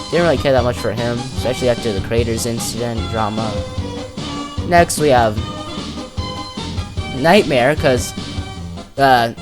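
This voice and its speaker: A casual speaker giving unscripted, rambling commentary, thinking aloud with slangy, hesitant phrasing. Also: mid-range voice. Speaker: video creator presenting a character ranking. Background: music, plucked guitar.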